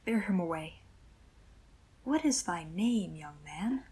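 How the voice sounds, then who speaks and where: A woman playing a stern, usurping Duke in a Shakespearean radio play, with a gravelly, husky voice and curt command. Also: on the low side, expressive, slow pace, mellow, exaggerated voice. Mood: cold displeasure. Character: stern, imperious usurping male Duke, a father.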